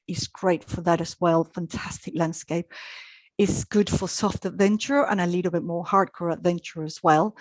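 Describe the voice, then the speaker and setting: A woman's voice: lowish voice, no room sound. A middle-aged woman from Chile, presenting a live online travel talk in a chatty way, with frequent ums and restarts. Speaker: travel expert presenting a webinar.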